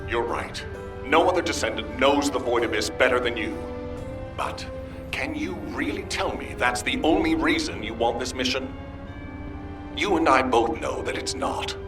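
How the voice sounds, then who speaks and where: A man voicing a firm, authoritative military commander in a video game, deliberate and stern. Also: mid-range voice, lively intonation, recorded from a distance, over music, lightly acted. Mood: stern, measured.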